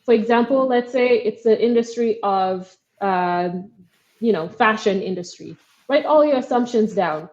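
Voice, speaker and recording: A woman's voice: on the high side, unhurried, darkish tone, dull audio. A young woman with a Kenyan accent, a startup co-founder earnestly answering interview questions with frequent ums and likes.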